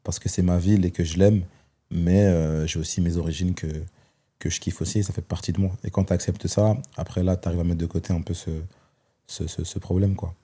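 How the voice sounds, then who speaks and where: A man, telling his life story as a guest, thoughtful and hesitant with many pauses and fillers. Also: sits low, steady tone, dark tone. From Belgium. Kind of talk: personal testimony in conversation.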